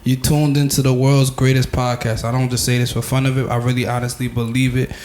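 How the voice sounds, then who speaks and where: A young man hosts his podcast, bragging and loose, with plenty of slang and fillers. His delivery stays flat and even in pitch. Faint background noise sits under the voice. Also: fairly quick. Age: young adult. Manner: boastful, slangy, lots of fillers. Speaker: podcast host. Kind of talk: casual interview chat.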